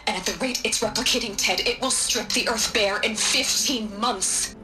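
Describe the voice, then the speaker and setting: A blunt, sharp-tongued scientist. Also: female voice, on the high side, normal speed, faint music behind. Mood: urgent. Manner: blunt, cutting. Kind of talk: game cutscene acting.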